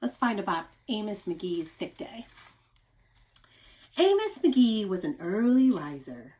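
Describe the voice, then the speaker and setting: A woman reading a picture book aloud to young children as a teacher, with expressive, deliberate emphasis and questioning asides. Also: medium pitch.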